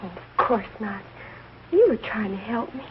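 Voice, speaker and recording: An actress playing an affectionate, wounded young daughter in a 1940s sitcom, speaking with open emotion. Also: female voice, medium pitch, lively intonation, normal speed, natural voice. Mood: hurt, tender. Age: young adult. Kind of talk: 1940s American sitcom acting. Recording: dull old broadcast, faint hiss.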